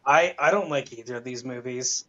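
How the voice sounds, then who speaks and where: A man's voice: mid-range voice, normal speed, pushed and bright, lo-fi sound. A man giving his opinions in casual, informal talk as a panelist. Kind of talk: casual movie opinion chat.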